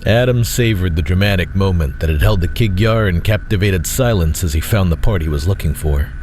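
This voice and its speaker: A man reading scripted dramatic fiction as narrator, in a measured, solemn way. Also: deep voice, darkish tone, slight background noise. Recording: faint music bed behind voice.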